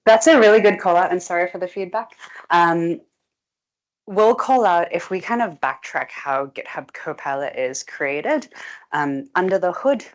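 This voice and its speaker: A young woman giving a tech talk to a meetup audience, brisk and chatty with frequent "um" and "like". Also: fairly low pitch, expressive. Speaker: guest speaker, technical presenter. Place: meetup room with a live audience.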